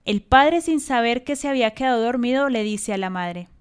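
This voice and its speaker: A woman's voice: a little high, animated, moderate pace, clear, resonant tone. A woman narrating a radio drama in a scripted storytelling style.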